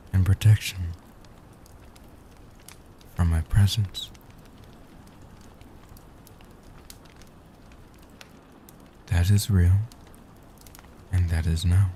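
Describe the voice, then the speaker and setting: Very deep, dark, soft-spoken vampire guardian, acted by a man. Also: slight background noise. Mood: tender, soothing. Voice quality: soft, hushed, dark low voice. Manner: slow, soothing, formal, solemn delivery. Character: gentle protective ancient male vampire guardian. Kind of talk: soft-spoken comfort audio roleplay.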